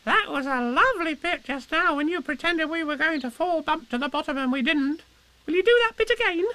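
Tiny storybook kangaroo child, very high squeaky falsetto, bright, eager, full of questions. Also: male voice, normal speed, exaggerated voice, rough recording. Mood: hopeful. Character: excitable little child kangaroo, eager and curious.

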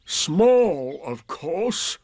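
A man voicing a gloomy, sarcastic donkey from a children's storybook, slow, bitter and deadpan. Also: fairly high pitch, dry recording, voice pushed up, exaggerated voice.

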